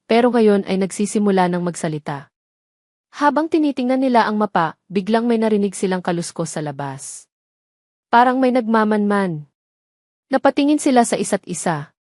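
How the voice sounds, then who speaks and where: A woman's voice: mid-range voice, a little mellow, no echo, clean studio sound. A woman reading scripted, dramatic story narration as the narrator of an audio tale.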